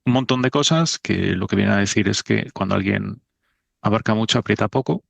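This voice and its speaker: A man's voice: lowish voice, fairly quick, gravelly, dry recording. A middle-aged man from Spain, a podcast guest talking in a thoughtful, self-deprecating way. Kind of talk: casual conversation.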